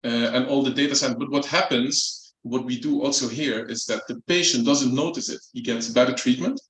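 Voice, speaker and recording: A man with a Dutch accent speaks at a mid pitch. He talks in a relaxed, chatty way with light jokes. The sound is echoey, as in a hall. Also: normal speed. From Netherlands. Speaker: company executive. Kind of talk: formal speech at a launch event. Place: event room.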